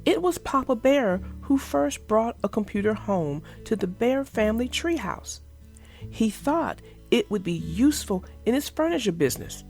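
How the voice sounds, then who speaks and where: A woman reading a children's storybook aloud as narrator, in an expressive storytelling manner. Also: a little high, normal speed, vocal fry, faint music behind. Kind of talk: scripted storybook read-aloud.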